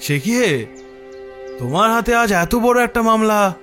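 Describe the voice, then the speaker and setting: A man's voice: sits high, measured pace, full character voice. Casting: male actor for questioning detective's companion, raised register, bouncy intonation, audio mystery with music bed. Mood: teasing. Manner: animated, questioning. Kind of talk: dramatised Bengali detective audio story. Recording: music behind the voice.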